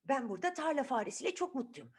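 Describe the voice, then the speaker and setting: A woman's voice: high voice, sing-song, fast talker, husky, pitched above the performer's own voice, exaggerated voice. A woman voicing a tiny, polite young girl who is timid but firm in a children's fairy tale. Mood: protesting.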